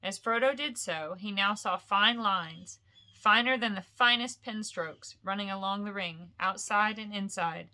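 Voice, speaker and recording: A woman reading a fantasy novel aloud as an expressive storyteller, with deliberate, dramatic phrasing. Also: mid-range voice.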